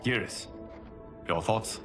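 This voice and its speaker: An actor playing a grave, calm protector of Earth in a video game, speaking in a measured, formal way. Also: male voice, over music, lightly acted. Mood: questioning. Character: grave, calm male protector of Earth, former time keeper. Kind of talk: scripted dramatic game dialogue.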